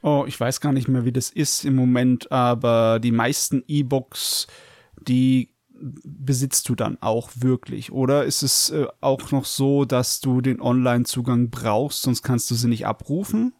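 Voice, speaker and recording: Gravelly-voiced man, dry close sound. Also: mid-range voice, steady tone, unhurried, darkish tone, no room sound. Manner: chatty, laughs while telling stories. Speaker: podcast co-host. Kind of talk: casual chat.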